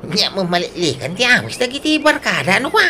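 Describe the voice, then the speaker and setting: Woman acting bright, animated, earnest young son. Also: medium pitch, fast talker, a little hiss or hum. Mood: forgiving. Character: hardworking young grown son, earnest and good-hearted. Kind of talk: comic Ilocano radio drama acting.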